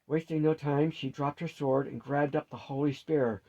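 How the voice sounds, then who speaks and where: A man's voice: fairly high pitch, fairly even intonation, moderate pace, mellow, muffled recording. A man reading a fantasy story aloud as scripted narration.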